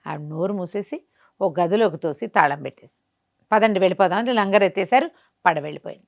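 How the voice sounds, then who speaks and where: A woman telling a folk tale in an animated, conversational way, putting rhetorical questions to herself as she goes. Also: fairly low pitch, a little fast, vocal fry. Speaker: storyteller. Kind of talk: animated oral folk storytelling.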